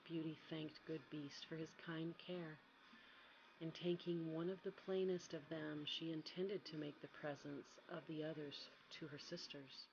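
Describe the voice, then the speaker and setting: Low, quiet woman reading a bedtime story. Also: steady tone, unhurried, creaky, lo-fi sound, background noise. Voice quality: soft, quiet.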